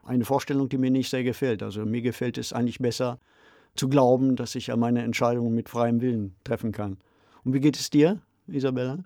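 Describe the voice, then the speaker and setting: A man co-hosting a podcast discussion, speaking in a measured, formal, explanatory manner. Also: mid-range voice, steady tone, warm tone, no room sound, studio quality. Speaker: podcast co-host. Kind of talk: discussion of fiction and ideas.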